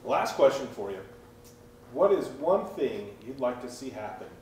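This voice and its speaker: A man's voice: medium pitch, sing-song, measured pace, darkish tone, slight background noise. Man asking questions in a roomy space. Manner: brief, plain questions. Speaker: interviewer. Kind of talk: interview questions. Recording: roomy sound with faint ambience.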